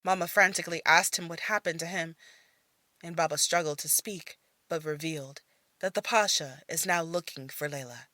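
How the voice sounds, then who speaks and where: A woman narrating a fantasy audio story in a measured, soft-spoken manner. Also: sits low, no room sound. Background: faint music.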